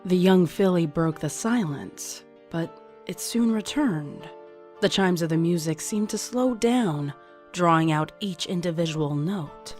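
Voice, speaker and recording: A woman's voice: fairly low pitch, animated, a little slow. A woman reading scripted audiobook narration as the storyteller. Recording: music playing behind the voice. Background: soft music.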